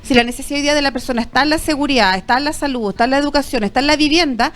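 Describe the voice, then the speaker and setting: A woman's voice: sits high, rapid-fire, a bit bright. A woman, a party president on a political panel, making a firm, persuasive case point by point.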